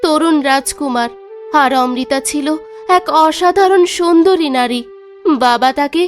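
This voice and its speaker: Young princess for fairy-tale cartoon, female performer: very high pitch, gentle, mournful. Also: measured pace, soft background music. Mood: sorrowful recounting. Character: frail young shipwrecked princess, sorrowful and gentle.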